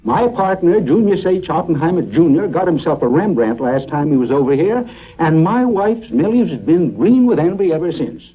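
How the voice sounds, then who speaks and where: A brash American millionaire in an old radio play. His voice is high and animated with a husky edge, eager and blunt, over a thin, phone-like vintage recording. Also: moderate pace. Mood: brash, decisive. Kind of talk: 1950s radio drama acting.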